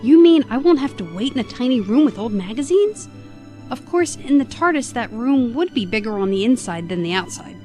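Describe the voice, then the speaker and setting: Woman acting plucky, high-voiced, wisecracking young companion. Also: expressive, brisk, dark tone, soft background music, close to an everyday voice. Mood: wry, joking. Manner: wry, playful, quippy. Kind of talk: scripted audio drama acting.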